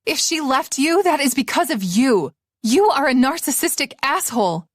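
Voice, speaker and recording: A woman's voice: very animated, slow, dry recording, clean studio sound, exaggerated voice. A woman voicing a frightened young woman who whispers and pleads in panic. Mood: defiant. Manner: breathless whispering, trembling, higher-pitched.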